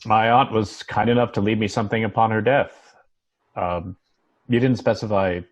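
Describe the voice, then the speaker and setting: A man's voice: on the low side, fairly even intonation, close to an everyday voice. A man reading the part of an uneasy, questioning heir in a TV drama table read, speaking hesitantly. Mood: guarded. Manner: hesitant, halting. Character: Muff, bewildered male heir, uneasy and questioning. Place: home, remote video call.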